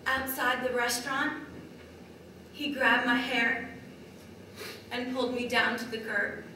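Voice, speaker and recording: Woman performing battered wife, stage monologue, slow halting phrases, bright tone, wounded, far-off echoey recording. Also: fairly high pitch, level tone. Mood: subdued, haunted. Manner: deliberate, halting delivery with short broken phrases. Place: large echoey room, distant microphone. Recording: very echoey hall from far off, faint noise.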